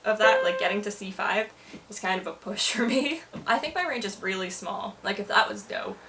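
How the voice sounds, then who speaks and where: A woman's voice: medium pitch, lively intonation, normal speed, distant mic, over music. A young woman talking casually and confessionally to camera, in a hesitant, self-deprecating, informal way. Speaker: vlogger.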